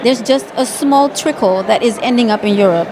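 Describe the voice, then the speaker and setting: A woman's voice: on the high side, moderate pace, crowd noise, poor audio. A woman from Ethiopia, an Amharic native, answering interview questions as a magazine editor, thoughtful, with frequent restarts and fillers.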